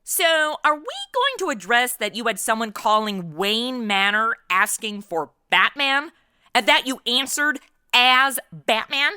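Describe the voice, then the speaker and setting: Sassy young woman played by an actress, snarky, complaining, fairly high animated voice, radio comedy, dry close sound. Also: a little slow, clear, resonant tone, dry recording, full character voice. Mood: incredulous. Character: sassy, sarcastic modern young woman, reluctant sidekick. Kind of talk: comedy radio play acting.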